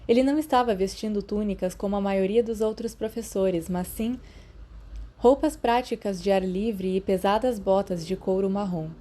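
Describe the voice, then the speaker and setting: Casting: female audiobook narrator, Brazilian accent, mid-pitched and very soft, warm in tone, for story fiction. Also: normal speed.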